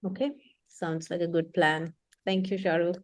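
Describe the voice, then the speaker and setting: A woman's voice: on the low side, level tone, normal speed, dry recording. Woman, leadership coach, thoughtful, many fillers, dry recording. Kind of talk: conversational explanation.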